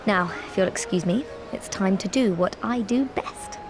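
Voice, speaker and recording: A woman's voice: medium pitch, normal speed, lightly acted. An actress playing a breezy, confident woman adventurer in a video game drama. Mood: breezy, teasing. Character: breezy, self-assured, tavern-loving woman adventurer. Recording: music behind the voice.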